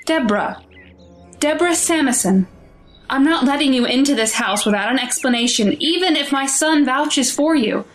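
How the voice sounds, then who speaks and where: A woman's voice: sits high, animated, moderate pace, soft background music. An actress playing a protective, fretting mother of a schoolboy in an audio drama, with a nagging, anxious manner. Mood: suspicious.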